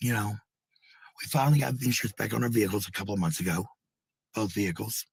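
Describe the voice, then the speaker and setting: Very husky, gravelly American man. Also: on the low side, fast talker. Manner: blunt, profane, repeats phrases. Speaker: live streamer. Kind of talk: rambling casual livestream talk to viewers.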